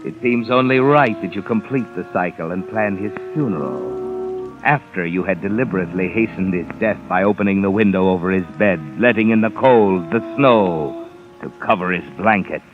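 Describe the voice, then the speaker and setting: An actor playing an ominous, all-knowing narrator of a 1950s radio mystery, speaking in a knowing, sardonic, deliberate way. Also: male voice, deep voice, natural voice. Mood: sardonic, accusing. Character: ominous all-knowing male narrator addressing a guilty man. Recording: muffled vintage radio recording with music behind.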